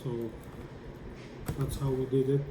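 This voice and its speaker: Slow-talking man demonstrating in an echoey room. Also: mid-range voice, little pitch movement. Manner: halting, explaining step by step while working. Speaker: tutorial presenter. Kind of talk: hands-on how-to demonstration. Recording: echoey room with clear background noise.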